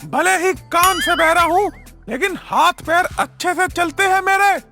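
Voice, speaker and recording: A woman voicing an irritable, loud village man who scolds and threatens in a cartoon story. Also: very high voice, a little slow, faint music behind, voice pushed up, exaggerated voice. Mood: angry, scolding.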